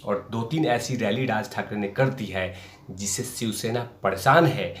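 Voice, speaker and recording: A man's voice: fairly low pitch, a bit bright. A man hosting a political news commentary, speaking emphatically and repeating phrases for effect. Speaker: host of a political commentary show. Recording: roomy, echoing sound.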